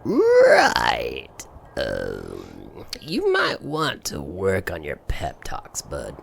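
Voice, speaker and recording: Gravelly, animated down-on-his-luck trucker. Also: a bass voice, very slow, full character voice. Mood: taken aback. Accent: American. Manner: folksy, hesitant, courteous. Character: down-on-his-luck, folksy male trucker, sheepish and proud. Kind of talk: audio fiction acting.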